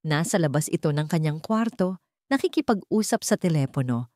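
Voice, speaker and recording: A woman's voice: sits low, expressive, brisk, warm tone, no echo, studio quality. A woman reading a scripted romance story as a dramatic narrator.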